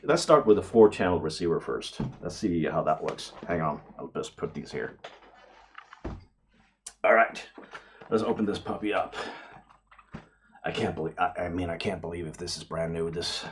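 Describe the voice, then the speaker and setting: Enthusiastic middle-aged man with a lowish voice. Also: normal speed, long pauses, slight background noise. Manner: enthusiastic, exclamatory, rambling. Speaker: video host. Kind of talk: casual unboxing vlog.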